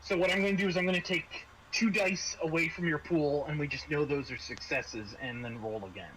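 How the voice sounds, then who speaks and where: A man's voice: on the high side, fairly quick. A man acting as game master of a tabletop role-playing game, explaining rules and narrating the players' actions. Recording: faint background noise.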